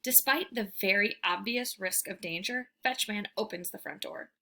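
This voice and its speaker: A woman reading a children's book aloud as a storyteller, with expressive, emphatic delivery. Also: medium pitch, normal speed. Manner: animated, emphatic, theatrical delivery.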